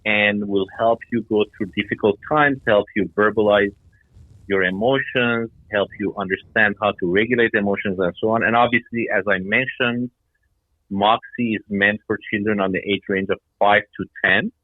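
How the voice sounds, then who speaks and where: A man's voice: fairly low pitch, steady tone, normal speed, dry recording. A middle-aged man from Iran and Denmark giving explanatory answers as an interview guest. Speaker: guest, startup founder. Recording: tinny phone-like line.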